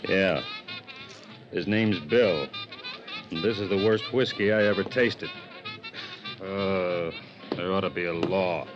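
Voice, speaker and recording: An actor playing a gruff, weary police sergeant in a 1950s radio drama, plain, deliberate and laconic. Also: male voice, low voice, over music. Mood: wry. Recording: dull old radio recording.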